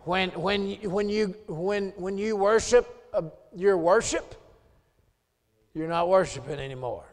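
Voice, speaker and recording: A man in his late forties with a Southern US accent, preaching a revival sermon in a church. He drops into quiet joking asides with the congregation, then climbs to hollered scripture. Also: high voice, measured pace, in a large hall. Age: 40s. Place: church sanctuary.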